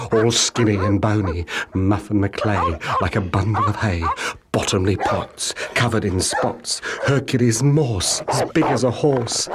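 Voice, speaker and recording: A man reading children's rhyming stories as an audiobook narrator, with measured, rhythmic, theatrical delivery. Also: fairly low pitch, very noisy. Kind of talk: scripted children's storybook narration in rhyming verse.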